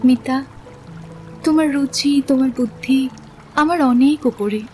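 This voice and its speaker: A woman playing a gentle, earnest young woman in a romantic audio drama, speaking softly and candidly about her doubts in love. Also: high-pitched, faint music behind. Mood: pleading. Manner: soft-toned, sincere, measured. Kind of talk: Bengali literary audio drama acting.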